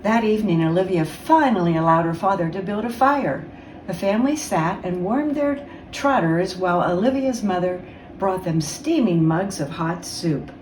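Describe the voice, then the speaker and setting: A woman reading a children's storybook aloud as a guest reader, with expressive, dramatic storytelling. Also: fairly low pitch, moderate pace. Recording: roomy, distant mic, faint noise.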